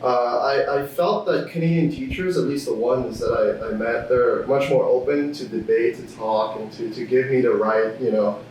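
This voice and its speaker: A man's voice: medium pitch, normal speed, few pauses, darkish tone, slight background noise. A young man from Thailand, a student guest on a podcast, talking casually with many fillers and restarts. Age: young adult. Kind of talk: casual interview chat. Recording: echoey room, distant from mic.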